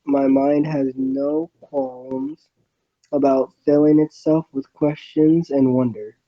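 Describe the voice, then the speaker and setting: A man reading a first-person visual novel story aloud, haltingly and with stumbles over the words. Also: medium pitch, steady tone, lo-fi sound.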